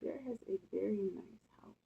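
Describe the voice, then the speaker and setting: A woman reading a picture book aloud, expressive and playful, with chatty casual asides to children. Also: mid-range voice, normal speed, gravelly, husky, phone-quality sound. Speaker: storytime reader.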